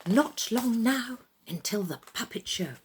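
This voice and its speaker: A woman reading a children's tale as a storyteller, in dramatic, expressive narration with deliberate phrasing and pauses. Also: a little high, slight background noise.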